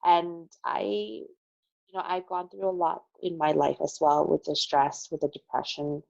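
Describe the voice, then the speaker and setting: A woman's voice: fairly low pitch, flat delivery, a little slow, gravelly, a little mellow, dry recording, highs cut off. A middle-aged American woman speaking earnestly as an interview guest, explaining things from her own experience and repeating phrases for emphasis. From Connecticut, United States. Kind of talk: conversational interview, sharing personal experience.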